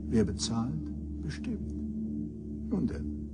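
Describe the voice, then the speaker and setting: Give the voice of a bitter old male professor, cynical and pompous. His pitch swoops widely as he relishes ornate, sardonic phrases. It is theatrical science fiction radio drama, made for menace and scorn. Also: sing-song, slow pace, loud music behind the voice. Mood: coolly threatening.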